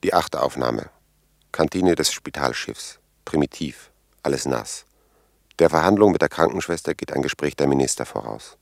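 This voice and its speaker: A dutiful official dictating a log in a vintage radio drama. The voice is very low and gravelly, reporting in terse, sober, clipped phrases. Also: male voice, lively intonation, moderate pace, no echo, heavily acted. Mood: sober, observant. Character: dutiful male official narrating his recorded mission log. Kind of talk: 1950s German radio play narration.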